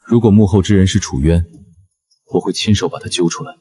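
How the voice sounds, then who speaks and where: A man's voice: deep voice, fairly quick, mellow, slightly rough. A man playing a bold, loyal young general in a historical drama, given to teasing. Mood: grave resolve. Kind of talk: dubbed historical romance drama acting.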